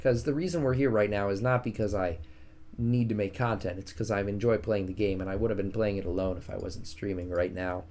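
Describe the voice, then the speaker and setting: A man's voice: lowish voice, steady tone, fairly quick, a little mellow. A man with a soothing voice streaming a strategy game, casually chatting with his viewers and joking at his own expense. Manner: chatty, self-mocking, reads and answers chat.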